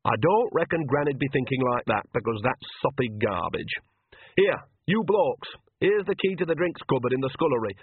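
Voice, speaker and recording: A man's voice: medium pitch, expressive, moderate pace, slightly rough, dry recording, phone-quality sound, pitched above the performer's own voice. Man as a bossy, earthy old witch. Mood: blunt, bossy. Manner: blunt, colloquial, cajoling. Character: bossy, earthy, shrewd older woman witch.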